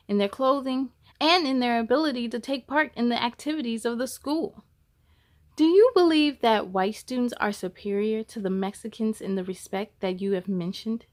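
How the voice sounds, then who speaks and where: Woman w/ a kinda high voice doing a kids read-aloud, storytime host, deliberate n expressive. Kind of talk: expressive picture-book read-aloud with brief asides.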